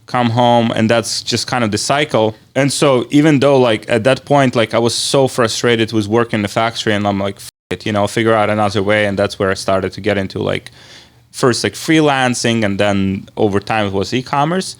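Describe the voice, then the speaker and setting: Halting, rambling male interviewer. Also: fairly low pitch, steady tone. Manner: halting, rambling phrasing with repeated filler words. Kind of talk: casual conversational interview.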